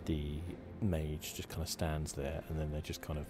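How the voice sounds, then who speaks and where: A man giving relaxed ASMR game commentary, musing and rambling with a hesitant delivery. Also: a bass voice, moderate pace, background noise. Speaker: gaming channel host.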